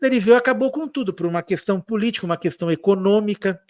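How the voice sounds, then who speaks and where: A man's voice: sits high, fast, darkish tone, dry recording, studio quality. A Brazilian man with a São Paulo accent with a slight Italian lilt, chatting loosely about history on a live stream, with many restarts and slangy fillers. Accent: São Paulo Portuguese with an Italian-tinged lilt. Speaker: guest speaker on history. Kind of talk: casual live-stream chat.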